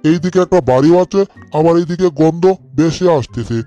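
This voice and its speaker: A man's voice: on the high side, a little fast, dark tone, dull audio, soft background music, lightly acted. A man voicing a doting, fretful parent crocodile in a cartoon dub, fussing aloud over the babies. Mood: fretful, searching. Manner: self-narrating, fretting.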